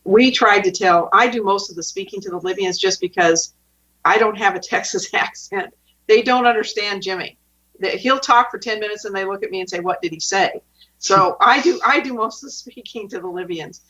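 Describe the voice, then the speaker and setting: A woman's voice: mid-range voice, normal speed, fairly bright tone. A woman giving interview testimony, cutting in to add details beside her partner. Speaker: guest. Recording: muffled distant lo-fi audio.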